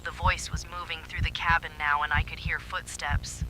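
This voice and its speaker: A man narrates a horror story in the first person with dramatic suspense. His voice sits a little high and is very bright and sharp. A faint hum of noise runs underneath. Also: level tone, moderate pace, rough recording.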